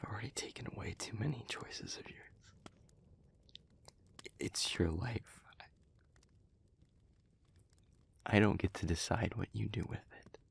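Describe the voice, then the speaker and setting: A man playing an anguished, tender demon in an intimate audio roleplay, with a breathy, husky voice that often drops toward a whisper and a halting, weighted delivery. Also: medium pitch. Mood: quiet, pleading. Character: anguished demon pleading with a beloved human, tender.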